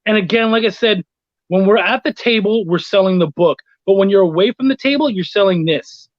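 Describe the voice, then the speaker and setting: High-voiced man, dull lo-fi sound. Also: a little fast, dry recording. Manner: informal, slangy. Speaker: guest, comics writer and editor. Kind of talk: casual video chat.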